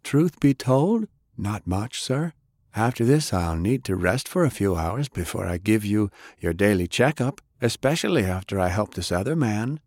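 A man's voice: lowish voice, lively intonation, normal speed, a little mellow, dry recording, clean studio sound. An actor playing a tubby, deferential male healer in an audiobook, tired and drawn out. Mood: weary.

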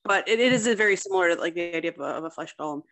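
A woman's voice: fairly low pitch, rapid-fire, fairly bright tone. Hesitant woman explaining in an interview. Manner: hesitant, piecing thoughts together. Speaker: guest author discussing own story. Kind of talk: casual interview, explaining a fictional world.

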